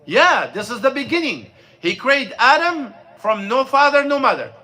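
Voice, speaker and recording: High bright-voiced man teaching a class. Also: sing-song, moderate pace. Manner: plain, repetitive explaining with restarts, asks the class questions. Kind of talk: informal religious lesson. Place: mosque classroom.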